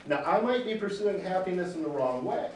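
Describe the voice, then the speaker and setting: A loud, emphatic man in his forties gives unscripted testimony, his voice pitched a little high. He sounds far from the camera, in a big, very echoey wood-panelled room with faint noise behind. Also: animated, brisk. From Michigan. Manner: emphatic, gesturing, pounds the table. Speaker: public hearing witness.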